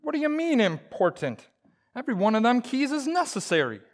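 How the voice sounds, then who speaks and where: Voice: mid-range voice, very animated, fairly quick. An actor playing a stubborn, folksy, blustery husband in an old-time radio comedy. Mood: indignant. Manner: folksy, hesitant, indignant.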